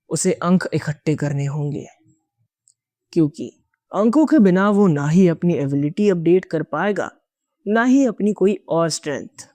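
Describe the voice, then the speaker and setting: A man's voice: a little high, dark tone, no echo. A man narrating a scripted dramatic audio story as an audiobook narrator.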